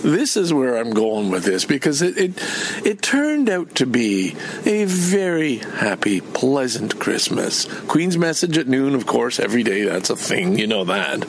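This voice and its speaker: A man's voice: medium pitch, sing-song, unhurried, a little hiss or hum. An older man from Canada in a rambling personal vlog, meandering and chatty with many restarts. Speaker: vlogger.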